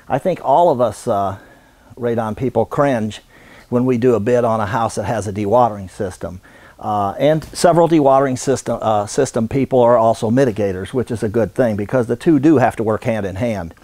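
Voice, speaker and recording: An American man in his sixties giving an unscripted instructional demonstration in a halting, folksy way. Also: mid-range voice, darkish tone, no room sound. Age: 60s. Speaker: radon supply business owner and instructor. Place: indoor warehouse.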